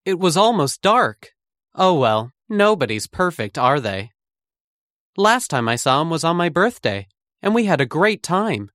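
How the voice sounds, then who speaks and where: A man reading a scripted textbook monologue about a friend, in a lively, even storytelling manner. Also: a little high, measured pace, no echo, studio quality.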